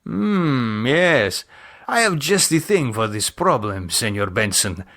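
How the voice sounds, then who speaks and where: A man's voice: mid-range voice, sing-song, slow pace, pushed and bright, heavily acted. A man voicing a suave, sly cult member with a courtly, knowing manner in an audiobook. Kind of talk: audiobook character acting.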